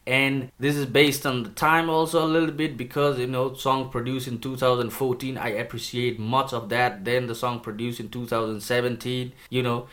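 A man's voice: medium pitch, level tone, moderate pace, hardly any pauses, a bit bright. A man from Nepal, a native Nepali speaker, hosting a casual video review of rap songs, chatty and enthusiastic while joking about his put-on accent. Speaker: music reviewer.

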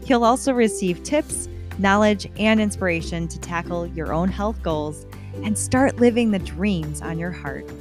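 An upbeat, encouraging woman hosting a podcast, her voice sitting in the middle of the range. Faint music can be heard underneath her. She keeps things friendly and lively. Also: medium pitch, a little slow. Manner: upbeat and encouraging, with a recorded intro. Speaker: podcast host. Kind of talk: podcast interview.

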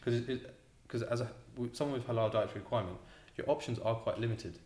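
A man's voice: mid-range voice, fast, darkish tone. A man who co-owns a restaurant, answering interview questions in a casual, hesitant way with repeated words and ums. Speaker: restaurant co-owner, guest. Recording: roomy echo.

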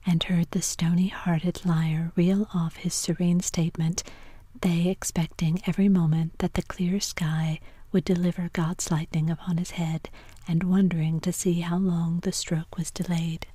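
A woman narrating a classic story entirely in a soft whisper. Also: fairly low pitch, flat delivery, measured pace, husky, no echo. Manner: soft unvoiced whisper throughout.

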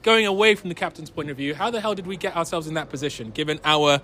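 Bright, high-pitched man hosting football banter. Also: fast, slight background noise. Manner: repeats phrases. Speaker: host of amateur football podcast. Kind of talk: casual post-match interview banter.

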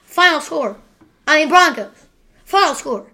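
A woman hosts a sports picks podcast in a loud, excitable way, teasing her guest as she goes. Her voice sits a bit high and rings bright and forceful. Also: a little slow. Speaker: podcast host. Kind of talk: casual sports picks chat.

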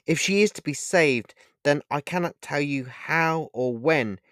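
A man's voice: fairly high pitch, a little slow, a bit bright, no room sound, studio quality, pitched above the performer's own voice. A man voicing a mysterious, angel-like stranger who speaks in a solemn, commanding way.

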